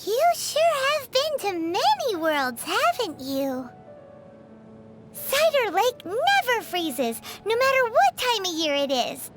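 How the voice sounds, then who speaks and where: A woman voicing a tiny, perky, childlike fairy companion in a video game, with a squeaky voice. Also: huge pitch swings, takes it slowly, over loud music, exaggerated voice. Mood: playful. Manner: perky, refers to self in third person. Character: tiny childlike female floating fairy companion, chirpy and food-loving. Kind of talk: scripted video game dialogue.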